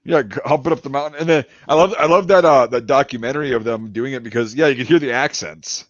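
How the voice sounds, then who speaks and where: Podcast host: a rambling, swearing man, mid-pitched, recorded dry and lo-fi. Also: fast, dry recording. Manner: rambling, swears freely, lots of fillers and restarts. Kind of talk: casual chat. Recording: dry, dull lo-fi sound.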